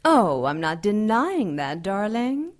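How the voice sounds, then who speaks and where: A woman playing a seductive, vain ancient dark angel in an audio drama, teasing and theatrical, savoring her words with amused menace. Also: mid-range voice, very animated, slow pace. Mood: sly, teasing.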